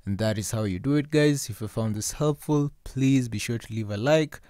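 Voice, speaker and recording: A man's voice: mid-range voice, normal speed, mellow, dry recording, clean studio sound. A man narrating a step-by-step phone app tutorial as the host of a how-to channel.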